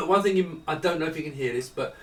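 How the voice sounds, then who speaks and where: A man's voice: on the high side, normal speed, bright, forceful tone. A man giving a casual, off-the-cuff tech demonstration, thinking aloud in a rambling way. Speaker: product demonstrator. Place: indoor room with retro computers. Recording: roomy echo, distant mic, some music.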